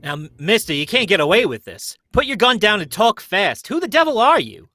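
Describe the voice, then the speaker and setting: A man playing a brisk homicide inspector in an old-time radio drama, speaking firmly and with official urgency. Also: high-pitched, sing-song, pushed and bright, no echo, exaggerated voice. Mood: firm, urgent.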